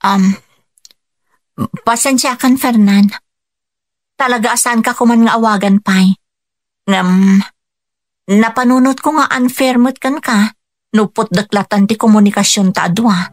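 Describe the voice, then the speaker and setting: An actress plays a gentle, earnest wife with a Filipino accent. Her voice sits a little high and is captured very dry, in the style of a radio drama. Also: unhurried, pauses often, no room sound, natural voice. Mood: apologetic. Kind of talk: Ilocano radio drama acting.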